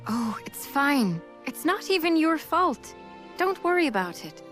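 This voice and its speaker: A woman's voice: high voice, very animated, unhurried, a bit bright, full character voice. A woman playing a seasoned, easygoing female mercenary in a fantasy video game cutscene. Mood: warm, teasing. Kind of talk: English game cutscene voice acting. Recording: music behind the voice.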